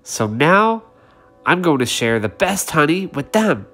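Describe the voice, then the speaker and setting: A storyteller voicing a greedy little male bee in a children's story, with high, exaggerated cries. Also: sing-song, a little slow, fairly bright tone, faint music behind, exaggerated voice. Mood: content.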